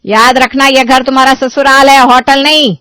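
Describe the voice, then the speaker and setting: A woman's voice: high-pitched, level tone, moderate pace, bright, forceful tone, clean tone, dry recording, studio quality. A woman voicing a nagging, sarcastic middle-aged mother-in-law in a cartoon story, chiding with rhetorical questions. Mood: scolding. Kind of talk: Hindi cartoon moral story voice acting.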